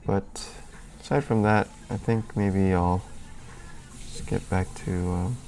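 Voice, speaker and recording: A man's voice: low voice, fairly even intonation, slow, a little mellow, slight background noise, rough recording. A man hosting a live stream, chatting casually and rambling as he answers questions from chat, a little sniffly. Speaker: livestream host.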